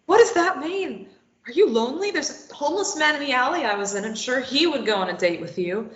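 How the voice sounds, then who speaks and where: A woman's voice: high voice, a little fast. A woman reading a sarcastic defiant captive. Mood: sardonic. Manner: sarcastic, deadpan retorts. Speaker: table read actor. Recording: echoey lo-fi recording.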